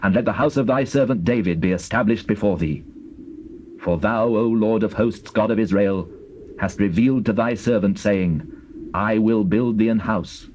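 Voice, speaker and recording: Man playing humble, low-voiced King David praying. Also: a little mellow, slight background noise, lightly acted. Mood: reverent, humble. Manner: reverent, measured, formal. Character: King David, humble, reverent male king at prayer. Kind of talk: dramatized King James scripture.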